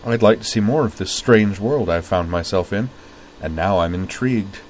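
A man's voice: fairly low pitch, moderate pace, a little hiss or hum, natural voice, low-quality recording. A man reading a storybook, voicing a composed young woman who speaks dryly and formally. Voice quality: dry, precise, formal diction.

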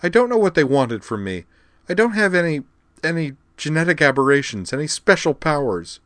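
A woman's voice: low voice, sing-song, fairly quick, no room sound, pitched above the performer's own voice. A man voicing a bitter, frightened young blonde woman who snaps and cries out in desperation. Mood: bitter, desperate.

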